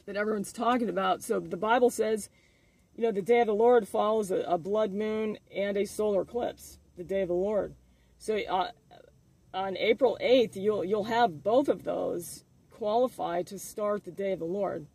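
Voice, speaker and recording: A man speaking casually as the host of an informal religious video update, halting as he thinks aloud. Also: high-pitched, darkish tone, breathy edge, no room sound.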